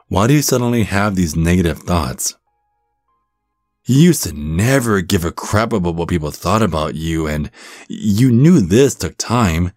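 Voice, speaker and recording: A man's voice: sits low, no room sound, clean studio sound, exaggerated voice. A man voicing a supportive, flirty werewolf gym bro in an ASMR roleplay, with an encouraging, stammering manner. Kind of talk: ASMR roleplay, one-sided conversation.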